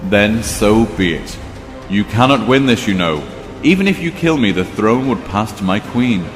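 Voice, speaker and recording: An actor playing a proud, composed vampire king with a measured, sardonic, regal manner in an audio drama. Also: lowish voice, expressive, natural voice. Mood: firm, regal. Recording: long reverb, music underneath.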